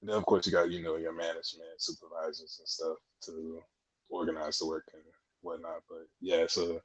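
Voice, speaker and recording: A man's voice: sits low, little pitch movement, brisk. A man in his twenties giving casual interview answers in an explanatory, conversational way, as a guest on a podcast. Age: 20s. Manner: explanatory, conversational, frequent "you know". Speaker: podcast guest, air traffic controller trainee. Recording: dull, muffled lo-fi audio.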